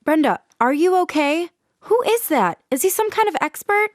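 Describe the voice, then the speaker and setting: Concerned female show host for radio drama, high and very animated, inquisitive woman acting. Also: normal speed, no echo, clean studio sound. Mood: astonished, concerned.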